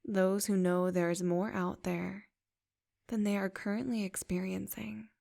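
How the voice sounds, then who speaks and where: Brief: woman, meditation guide, very slow delivery, gravelly fry, soft affirming tone. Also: medium pitch, little pitch movement.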